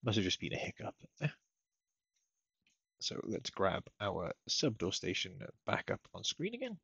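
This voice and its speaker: A man giving a casual step-by-step software tutorial as a technical support presenter, recorded as a voice-over at his computer. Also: on the low side, huge pitch swings, measured pace, vocal fry, breathy edge, no echo. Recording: dull lo-fi close dry microphone.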